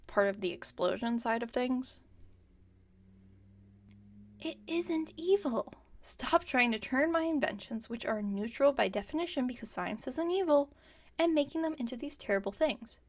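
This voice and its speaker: A stubborn lady villain who invents things next door, acted by a woman for an ASMR roleplay. Her voice sits fairly high with a marked creak of vocal fry. She rambles chattily, teasing and defending herself with mock innocence. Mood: indignant, defensive. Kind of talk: ASMR roleplay, one-sided conversational acting.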